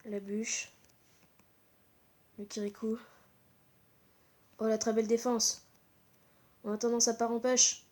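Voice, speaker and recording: A woman's voice: on the high side, little pitch movement, takes it slowly, pauses often, a little mellow. A woman with a stuffy, congested voice from a cold, giving live gameplay commentary in short, repeated exclamations. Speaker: gaming channel host.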